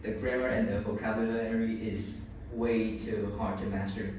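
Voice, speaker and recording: A man's voice: on the low side, little pitch movement, normal speed, darkish tone. A boy in his early teens from Vietnam, a native Vietnamese speaker with a Vietnamese accent, answering a speaking test hesitantly with many restarts. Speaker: student taking a speaking test. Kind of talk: answering exam questions. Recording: echoey room, distant mic, background noise.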